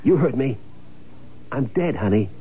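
A man's voice: medium pitch, very animated, a little slow, noisy background. Wry, scheming man in vintage radio drama. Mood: urgent, secretive. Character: scheming, shaken man narrating his own crime story. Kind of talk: old radio drama acting, hard-boiled first-person narration. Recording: muffled vintage radio drama recording, music behind.

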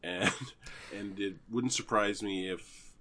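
A middle-aged man hosting a podcast, chatting casually with an animated, joking manner and frequent laughs. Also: lowish voice, slow. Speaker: podcast host. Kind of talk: casual chat.

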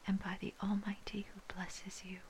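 A woman reading scripture in a soft, breathy, unvoiced whisper, ASMR style, with measured phrasing. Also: mid-range voice, monotone, a little hiss or hum. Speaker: scripture reader.

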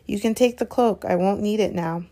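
A woman's voice: medium pitch, moderate pace, mellow, natural voice. A woman voicing a determined schoolboy in a storybook, serious and urgent in manner. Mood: quiet resolve.

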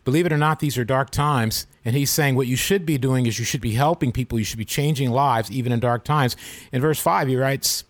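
A man's voice: medium pitch, a little fast, no echo. A man in his fifties hosting a podcast, speaking earnestly and reflectively in a devotional, preacher-like way. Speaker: podcast host.

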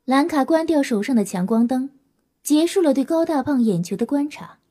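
A woman's voice: sits high, lively intonation, normal speed, mellow. A woman reading scripted story narration for an audio drama.

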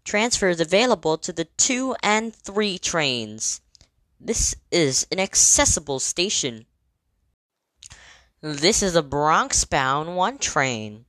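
A woman delivering scripted train announcements in a measured, formal, clearly segmented manner. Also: deep voice, expressive, fairly bright tone, dry recording.

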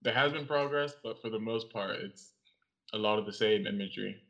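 A young man from Cameroon, a guest in an interview, speaking in a measured, reflective way with some pauses. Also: on the low side, creaky, bright, forceful tone. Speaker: guest, audiovisual artist and writer. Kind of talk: interview conversation. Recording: lo-fi, slightly dull sound.

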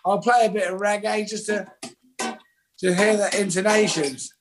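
Chill guy, musician rambling about his gear, a bit high voice. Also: a little slow, over music. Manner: relaxed, rambling, self-deprecating. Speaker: musician guest. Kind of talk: casual interview chat.

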